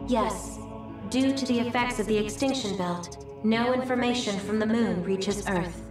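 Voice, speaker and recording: A woman's voice: a little high, lightly acted. Woman acting as serene female terminal guide, formal, cryptic, video game voice, echoing and distant, music behind. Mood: serene, detached. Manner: formal, measured, detached.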